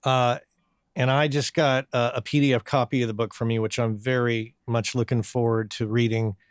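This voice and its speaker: A man's voice: mid-range voice, level tone, normal speed, clear, resonant tone, no room sound. Dry-sounding American male podcast host. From southern New Mexico, USA. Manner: friendly, repeats words as he talks. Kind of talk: casual interview chat.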